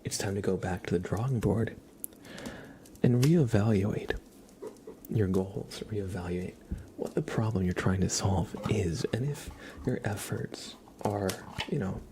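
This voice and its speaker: A man hosting a casual ASMR livestream, chatting and reading cards in a rambling, soft-spoken way that drifts into whispering. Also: lowish voice, measured pace. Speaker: livestream host. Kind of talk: casual live ASMR chat with tarot card readings. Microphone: headset. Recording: clear background noise.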